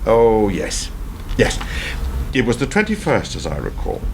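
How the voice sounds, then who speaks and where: A man plays an ageing former prime minister in a radio drama, weary and wry, with a low voice that swings expressively as he reminisces. He delivers his story theatrically and sardonically, over clearly noisy amateur recording. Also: wildly swooping pitch, a little slow, full character voice. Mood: wry reminiscing. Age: older. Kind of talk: radio play acting.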